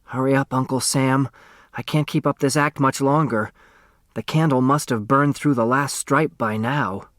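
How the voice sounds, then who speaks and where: A man's voice: mid-range voice, fairly even intonation, moderate pace, fairly bright tone, no echo, studio quality, close to an everyday voice. A man voicing a clever, nervy and secretive young girl in a storybook. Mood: secretly impatient.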